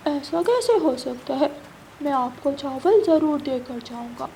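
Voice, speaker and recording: A woman's voice: sits high, expressive, unhurried, creaky, mellow, slight background noise, exaggerated voice. A woman voicing an eager little boy bird in a children's cartoon, pleading earnestly in a childlike way.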